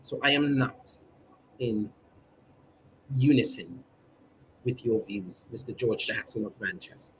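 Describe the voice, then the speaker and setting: A man's voice: mid-range voice, a little slow, long pauses, a little hiss or hum, low-quality recording. A man hosting a comedic mock newscast in a stilted, formal newsreader manner, drawing out his words. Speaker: mock news presenter on a livestream.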